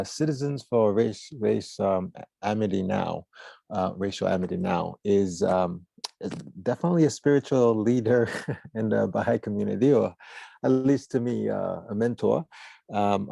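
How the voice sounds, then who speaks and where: Low, gravelly man, hesitant moderator. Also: warm tone, dry recording. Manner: hesitant, with frequent pauses. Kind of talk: informal moderating of a discussion. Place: online video meeting.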